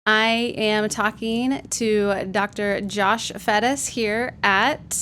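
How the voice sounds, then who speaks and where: A woman's voice: mid-range voice, fairly even intonation, takes it slowly, a bit bright. A woman hosting a casual interview, chatty and friendly, often laughing as she asks questions. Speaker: host.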